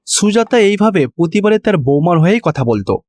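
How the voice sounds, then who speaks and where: High-pitched man narrating a cartoon story. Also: very animated, brisk, darkish tone, no echo.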